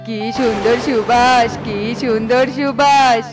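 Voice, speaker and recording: Woman doing a coaxing cartoon crow husband, high voice, wheedling, music in the background. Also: fairly even intonation, takes it slowly, poor audio. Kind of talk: Bengali children's cartoon voice acting.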